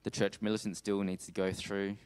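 Male preacher, deep clean tone, hesitant sermon delivery with mid-sentence pauses. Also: little pitch movement, darkish tone, smooth. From Australia. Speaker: preacher giving a talk on world missions. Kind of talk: sermon with slides, closing in prayer.

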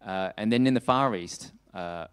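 Male preacher, deep clean tone, hesitant sermon delivery with mid-sentence pauses. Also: clean tone. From Australia. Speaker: preacher giving a talk on world missions. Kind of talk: sermon with slides, closing in prayer.

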